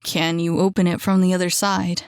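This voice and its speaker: A woman's voice: lowish voice, level tone, moderate pace, clear, resonant tone, no room sound, studio quality, natural voice. A woman voicing an injured, panicked young man straining to break out.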